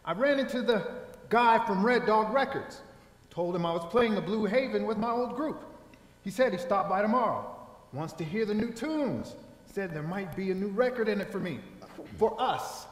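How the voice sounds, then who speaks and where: A man's voice: high voice, expressive, normal speed. A man acting a restless, hard-up jazz horn player in a stage drama, slangy and insistent. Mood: insistent, exasperated. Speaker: actor in staged reading. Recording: echoey theater hall.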